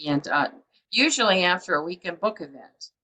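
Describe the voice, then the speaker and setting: A woman's voice: lowish voice, very animated, moderate pace, bright, forceful tone, slight background noise, low-quality recording. A woman publisher hosting a book show over a video call, chatty and rambling with many fillers. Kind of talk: casual interview chat.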